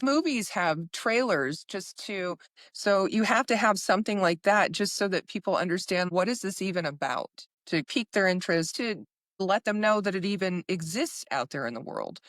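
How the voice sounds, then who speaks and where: A woman hosting a conversational podcast interview, talking in an effusive, halting way. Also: medium pitch, animated, a bit bright, no echo, studio quality. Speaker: podcast host.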